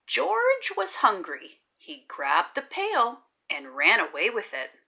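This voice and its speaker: A woman hosting a children's read-aloud, narrating the story expressively and deliberately. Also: mid-range voice, gravelly, breathy edge. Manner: deliberate, animated storytelling delivery.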